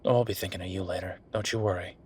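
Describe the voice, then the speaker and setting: Guy acting as tired sardonic young werewolf bf, lowish voice, halting delivery, intimate rp audio, some background noise. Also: fairly even intonation, a little mellow, natural voice. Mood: reassuring, resigned. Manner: low-key, sardonic, halting, sighing. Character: weary, wry younger werewolf boyfriend working late. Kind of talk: intimate roleplay audio drama.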